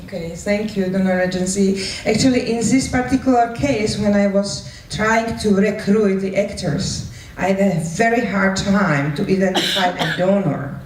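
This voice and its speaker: A woman's voice: mid-range voice, normal speed. Female event moderator, non-native English speaker, heard off-mic in a noisy, reverberant room. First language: not English. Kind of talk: live event hosting, introducing speakers. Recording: echoey room, distant mic, background noise.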